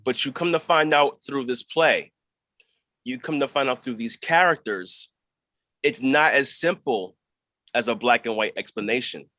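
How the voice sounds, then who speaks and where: A man of middling pitch gives a reflective interview as a stage actor. He is measured and thoughtful, often pausing inside his sentences. The sound is dry, with no room around it. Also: pushed and bright, no room sound.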